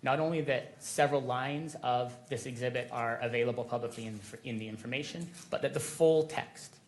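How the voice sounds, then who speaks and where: A man speaking as an attorney in court, making a measured, deliberate formal argument. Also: medium pitch, slight background noise. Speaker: attorney for a media coalition.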